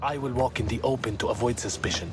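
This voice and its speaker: A man's voice: medium pitch, quick pace, natural voice. A man playing a cautious rebel guide. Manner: hushed, instructive, urgent. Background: game music score.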